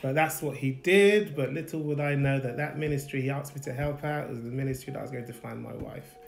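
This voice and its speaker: A man with a London accent, relaxed and plainspoken, telling his life story with light humour in a casual live chat at home. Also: medium pitch, a little fast, vocal fry, roomy sound. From Nigeria, raised in UK. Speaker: guest, husband.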